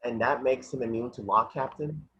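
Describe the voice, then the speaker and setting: A man's voice: medium pitch, moderate pace, bright, forceful tone, lightly acted. A man playing a dogged, defiant woman police detective in an amateur online table read. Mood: sardonic. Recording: muffled video-call audio with noise.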